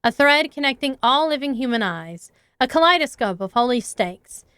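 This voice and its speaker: A woman's voice: high-pitched, lively intonation, normal speed, fairly bright tone, clear, resonant tone, no echo. A woman reading as a shy, intense schoolgirl. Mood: hesitant. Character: shy, intense teenage schoolgirl with an unsettling streak. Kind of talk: reading visual novel dialogue aloud.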